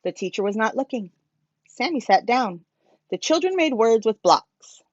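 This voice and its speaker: A woman reading a children's storybook aloud as a teacher, in a simple, expressive manner for young listeners. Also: fairly high pitch, moderate pace, no room sound, highs cut off.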